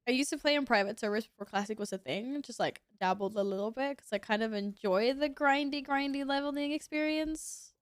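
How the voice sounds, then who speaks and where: A woman's voice: sits high, fairly quick, few pauses, clear, resonant tone, no room sound, studio quality. A young woman streaming a game, sniffly from sneezing, chatting casually and reacting as she plays. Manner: chatty, reacts to gameplay, reads chat aloud. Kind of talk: casual gaming stream chat.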